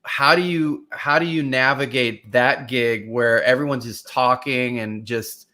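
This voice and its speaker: Guy hosting a podcast, bright voice, keeps restarting his questions. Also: medium pitch, fairly even intonation, measured pace. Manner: rambling questions with many restarts. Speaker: podcast co-host. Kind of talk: casual podcast interview.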